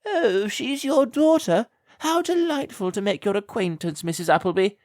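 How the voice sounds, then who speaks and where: A man's voice: expressive, darkish tone, no room sound, studio quality. A man voicing a haughty, cruel rich lady who sneers and mocks. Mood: mocking. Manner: high, drawn-out, mocking.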